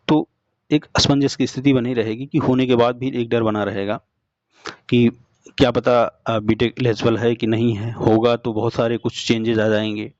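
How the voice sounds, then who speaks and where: A young man gives an informal explanatory update, speaking to listeners directly in halting, repetitive phrases. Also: medium pitch, darkish tone, no echo. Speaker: exam update presenter. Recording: dull lo-fi sound.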